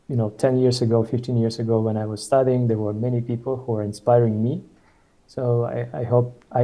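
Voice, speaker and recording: A man's voice: medium pitch, dark tone, rough recording. A man in his thirties from Ukraine, speaking as a guest on a video call in a hesitant, casual way with many fillers and restarts. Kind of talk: casual webinar conversation.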